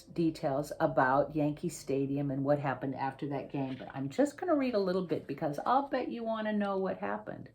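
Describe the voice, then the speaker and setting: A woman reading a children's picture book aloud as its author, with theatrical, emphatic delivery and drawn-out, suspenseful phrasing. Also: sits low. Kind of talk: dramatic read-aloud storytelling for children.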